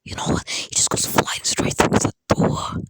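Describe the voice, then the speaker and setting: A person speaking in a breathy, unvoiced whisper, telling an improvised fan story in a rambling, halting way, close to a phone. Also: fairly bright tone, no echo. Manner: rambling, halting, acting out characters' dialogue in passing.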